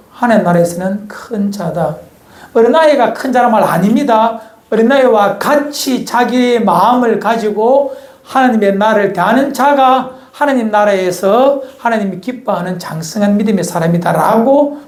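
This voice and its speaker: A man's voice: high voice, normal speed, darkish tone. A male pastor with a Gyeongsang Korean accent preaching a sermon in a church, folksy and asking the congregation questions. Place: church sanctuary. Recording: echoey church hall.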